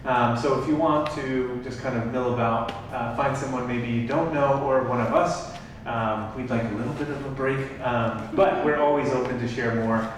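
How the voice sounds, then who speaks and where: A man's voice: mid-range voice, fairly even intonation, moderate pace, hardly any pauses, slight background noise. A man organizing an event, making loose announcements to the audience with many fillers. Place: large room at a live event. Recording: echoey hall, speaking from far off.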